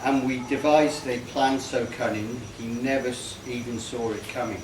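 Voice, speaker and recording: A man reading a comic rhyming poem aloud in a measured way, stumbling now and then. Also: medium pitch, steady tone. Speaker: party guest reading a tribute poem. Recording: echoey, noisy room, distant microphone.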